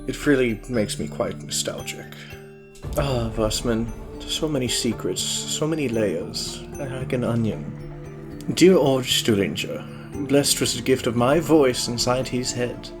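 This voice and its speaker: An actor playing a sinister, brooding scientist who narrates in a measured, theatrical and wryly menacing way. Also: male voice, medium pitch, lightly acted. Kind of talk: dramatic scripted narration. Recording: music bed behind the voice.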